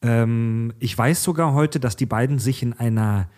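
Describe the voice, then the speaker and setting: A man's voice: mid-range voice, normal speed, a little mellow. Blunt, heated podcast host man. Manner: blunt, swears freely, heated. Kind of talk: casual podcast chat.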